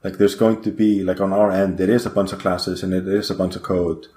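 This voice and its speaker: A man's voice: low-pitched, level tone, fairly quick, dark tone, echoey room. A man from Iceland, a guest programmer chatting in a podcast interview, rambling with lots of fillers. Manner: rambling, hesitant with many fillers.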